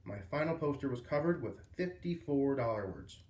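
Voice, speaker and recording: A man reading a children's book aloud as a storyteller, with expressive, emphatic phrasing. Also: mid-range voice, moderate pace. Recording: roomy echo, distant microphone.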